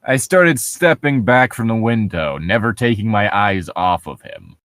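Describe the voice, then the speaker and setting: A man with a fairly low voice reads a story aloud in a casual, joking way. He slips from narration into quips and side remarks. The recording is dry and close, with little room sound. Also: animated, measured pace, fairly bright tone, no echo. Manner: breaks off from reading to quip. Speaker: co-host reading a horror story aloud.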